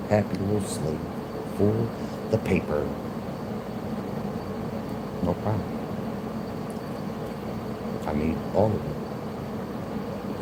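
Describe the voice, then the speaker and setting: Low, slow Philadelphia man over loud noise. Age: 40s. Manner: rambling, repetitive, argumentative. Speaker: livestream host. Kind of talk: rambling livestream monologue. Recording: loud background noise, air conditioner hum.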